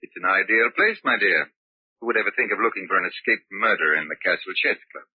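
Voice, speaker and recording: A man's voice: deep voice, animated, fast talker, slightly rough, no echo. An actor playing a smug, cruel, controlling older father with suave, pompous, mock-affectionate speech in an old radio drama. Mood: smugly genteel. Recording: muffled, tinny old radio broadcast recording.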